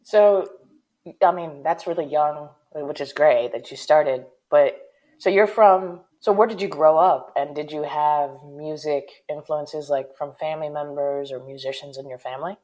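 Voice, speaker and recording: A woman hosting a podcast, her voice sitting very low for a woman. She reads her intro steadily, then moves into relaxed, chatty questions for her guest. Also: a little slow, poor audio. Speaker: podcast host.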